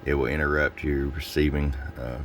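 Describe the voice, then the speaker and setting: A man giving a casual product review and demonstration as a seller, explaining things in an informal, unhurried way. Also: very deep voice, little pitch movement, fairly bright tone, a little hiss or hum. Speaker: radio seller presenting a product demo.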